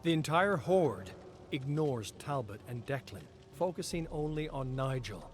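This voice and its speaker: A man streaming, reading game lore aloud in a slow, deliberate storytelling delivery. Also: medium pitch, lively intonation. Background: clear background music.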